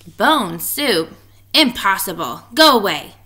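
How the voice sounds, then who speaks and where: A woman voicing a huge, gruff male monster with a booming, dismissive delivery for a storybook. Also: big pitch swings, slow pace, sharp tone, full character voice. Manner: booming, emphatic.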